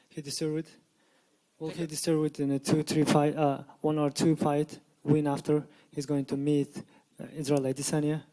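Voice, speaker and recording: Dark-toned reporter with halting English. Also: male voice, on the high side, rough recording. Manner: non-native English, hesitant with fillers. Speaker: reporter asking questions. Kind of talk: interview questions.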